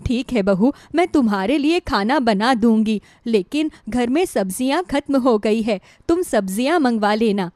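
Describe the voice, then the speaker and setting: Lady doing a meek old saas in a cartoon, high voice, resigned and plaintive. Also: expressive, moderate pace, hardly any pauses, a little mellow, no echo, clean studio sound, close to an everyday voice. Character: meek, wronged elderly mother-in-law, resigned and patient. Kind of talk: Hindi cartoon moral-story dialogue.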